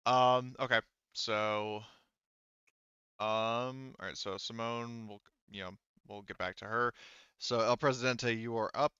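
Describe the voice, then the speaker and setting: A man speaking casually and haltingly as game master of a tabletop roleplaying game, thinking aloud as he goes. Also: mid-range voice, steady tone, sharp tone, clear, resonant tone, dry recording, dull audio.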